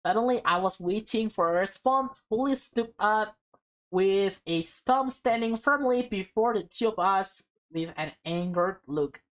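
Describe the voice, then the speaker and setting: A woman's voice: mid-range voice, measured pace, poor audio. A woman reading a visual novel aloud with playful, dramatic delivery and casual asides. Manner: expressive, playful, acts out dialogue. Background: music.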